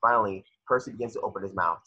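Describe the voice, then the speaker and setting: A man with a husky voice narrating screenplay stage directions at a table read over a video call. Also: fairly low pitch, very fast, highs cut off, background noise.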